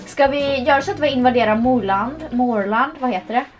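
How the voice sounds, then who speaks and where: A young woman with a high, bright-pitched voice chats playfully through a session of a horse game. She giggles often and talks to her webcam in a small room. Faint music plays under her talk. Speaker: vlogger. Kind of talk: casual gameplay commentary.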